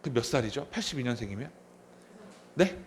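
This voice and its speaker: A man's voice: mid-range voice, expressive, normal speed, a little hiss or hum. A man in his thirties preaching a sermon as a pastor, asking the congregation questions and repeating key phrases. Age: 30s. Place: church sanctuary.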